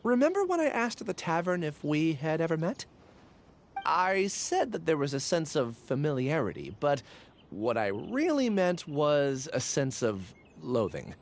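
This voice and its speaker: A frank young warrior in a video game. Her voice swings lively up and down as she talks bluntly and teases a little. She says what she thinks. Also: deep voice, unhurried, a little hiss or hum. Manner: candid and direct, lightly teasing.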